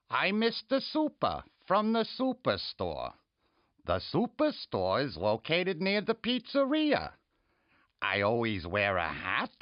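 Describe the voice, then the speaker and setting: A wacky cartoon mascot for a store, pitching in an exaggerated radio-ad style. The delivery is slow and swings wildly up and down, on a dry, slightly dull lo-fi recording. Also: dry recording. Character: Mister Super, wacky hat-loving superstore mascot character. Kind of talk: cartoon radio commercial acting.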